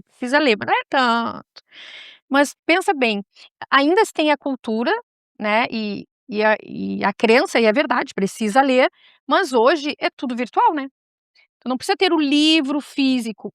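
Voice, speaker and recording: A woman's voice: high-pitched, fairly bright tone, no echo, studio quality. A woman with a gaúcho accent from southern Brazil hosting a talk show, chatting casually, digressing and speaking straight to her viewers. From Rio Grande do Sul, Brazil.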